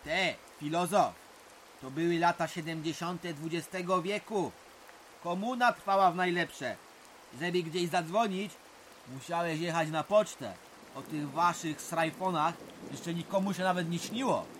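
An actor playing a mocking, gruff villager who talks colloquially in an audio drama. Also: male voice, fairly high pitch, unhurried, a bit bright, a little hiss or hum. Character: gruff, sarcastic villager who remembers communist times. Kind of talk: Polish audio drama acting.

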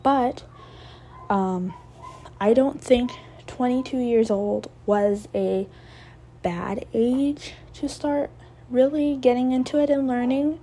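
A woman's voice: a little high, slow pace, mellow. A woman in her twenties hosting a casual solo podcast, talking in a rambling, reflective way with many fillers and restarts. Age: 20s. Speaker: podcast host.

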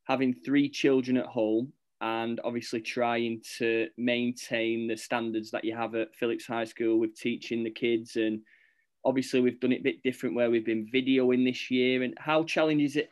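A man's voice: medium pitch, flat delivery, normal speed. Upbeat male podcast host. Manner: upbeat and encouraging. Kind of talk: school podcast host, scripted intro then casual chat.

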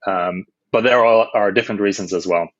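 A man's voice: fairly low pitch, brisk, dry recording, lo-fi sound. A man in his thirties from the Netherlands, a startup co-founder answering interview questions casually, with many fillers and restarts.

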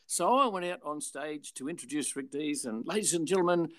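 An older Australian man, a former radio and television presenter, telling anecdotes in a relaxed interview and acting out the people he quotes. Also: a little high, a little fast, no room sound, clean studio sound. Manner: chatty, animated retelling with voiced quotes.

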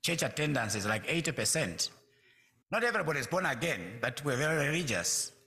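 A man in his sixties from Zambia, a pastor speaking to a congregation in a measured way, pausing often with fillers. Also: mid-range voice, very animated, gravelly, pushed and bright. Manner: measured, with frequent hesitant fillers. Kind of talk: church talk to a congregation.

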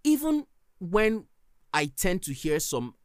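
A man's voice: sits high, sing-song, slow pace, smooth, dry recording, clean studio sound. A man with a Nigerian accent, hosting a solo podcast and giving earnest, emphatic advice. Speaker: podcast host.

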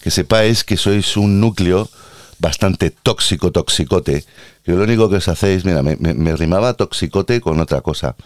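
A man's voice: deep voice, normal speed, dry recording, studio quality. A man with an Andalusian accent hosting a casual podcast monologue, ranting in a sarcastic, playfully theatrical way about work as a healthcare worker.

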